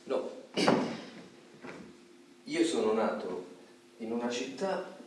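An actor playing a reserved male professor in radio theatre, speaking in a halting, measured way. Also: mid-range voice, very animated. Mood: quiet, hesitant. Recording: very noisy, echoey room, distant microphone.

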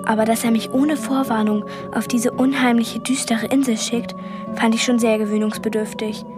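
A woman's voice: a little high, steady tone, moderate pace, music in the background, close to an everyday voice. An actress playing a plucky, curious twelve-year-old girl in a radio play, direct and insistent. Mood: wry narrating. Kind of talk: radio play acting and narration.

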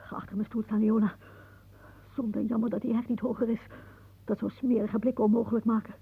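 An actress playing a frail, anxious, possessive old aunt in a radio play, with a plaintive, wistful delivery. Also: female voice, a little high, little pitch movement, a little fast, slightly rough. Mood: suspicious, sharp. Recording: muffled, narrow-band old radio recording.

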